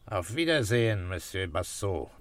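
An actor playing a middle-aged police inspector who questions tersely and with authority in an old radio drama. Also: male voice, deep voice, sing-song, normal speed, loud music behind the voice. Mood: commanding. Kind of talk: 1960s German radio drama acting. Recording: noisy old radio recording with clicks.

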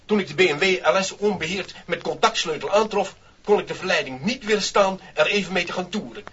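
An actor playing a meek, apologetic car thief in a 1960s comic radio play, polite and pleading. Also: male voice, high-pitched, fairly quick, fairly bright tone. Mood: contrite, pleading. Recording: distant, muffled, noisy 1960s vinyl single.

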